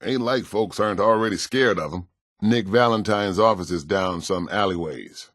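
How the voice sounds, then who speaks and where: An actor playing a kindly, world-weary pastor in a video game, with an American accent and a gentle, folksy, plain-spoken way of talking. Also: male voice, lowish voice, normal speed, dry recording, studio quality, lightly acted. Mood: weary, resigned, then kindly, calm.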